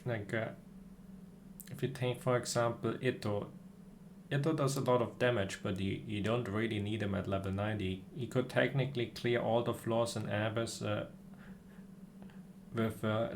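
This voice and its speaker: A man livestreaming a game, chatting casually about strategy in a hesitant, halting way as he thinks aloud. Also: on the low side, little pitch movement, a little hiss or hum.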